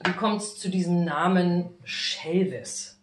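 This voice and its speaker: A woman's voice: on the low side, takes it slowly. A middle-aged woman hosting a cooking show, chatting casually with a guest in an exuberant, effusive way. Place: small home kitchen, indoors. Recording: roomy kitchen echo, light background noise.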